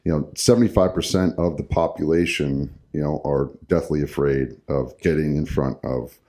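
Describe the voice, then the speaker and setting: A man with a very deep, mellow voice chats on a podcast. He rambles, restarting sentences and filling pauses as he goes. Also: steady tone. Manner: rambling, many fillers and restarts. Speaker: podcast co-host, hockey coach. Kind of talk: casual podcast chat.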